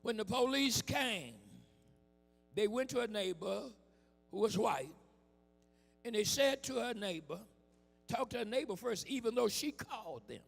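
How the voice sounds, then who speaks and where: A hoarse man preaches in a slow, impassioned style, pushing the congregation to answer him back. His high voice is gravelly and rough. He sounds like he is in a big church hall, with faint music underneath. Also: animated. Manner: preaching with call-and-response and shouted emphasis. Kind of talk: impassioned sermon.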